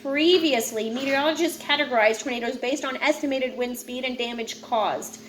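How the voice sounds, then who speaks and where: A woman's voice: sits high, normal speed, slight background noise, poor audio. A woman reading a nonfiction book aloud, informally, with casual asides and stumbles she corrects herself. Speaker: read-aloud presenter.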